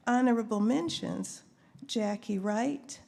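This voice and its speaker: A woman's voice: a little high, slow, creaky. A woman presenting awards at a live ceremony in an informal, chatty way, with asides to herself as she goes. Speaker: poet. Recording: faint background noise, live event microphone.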